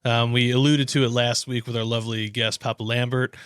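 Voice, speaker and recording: A man's voice: mid-range voice, little pitch movement, brisk, fairly bright tone, smooth, no echo. Slangy American man, close and dry. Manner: informal, slangy. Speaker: podcast co-host. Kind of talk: casual chat and informal sponsor plugs.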